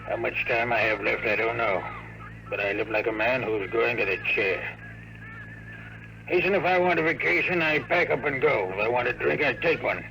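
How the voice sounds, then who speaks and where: Actor as a gravelly, weary old city mayor. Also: male voice, medium pitch, natural voice. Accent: American. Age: older. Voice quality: hoarse, gravelly, hushed. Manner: confiding, grave, throat-clearing. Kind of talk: 1950s radio drama acting. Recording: faintly noisy vintage radio recording.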